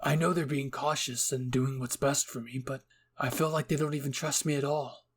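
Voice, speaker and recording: A man voicing a gentle, lonely teenage boy, soft-spoken and hesitant, in an anime roleplay reading. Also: mid-range voice, fairly even intonation, moderate pace, husky, lightly acted. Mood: wistful. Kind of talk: anime fan-fiction ASMR roleplay.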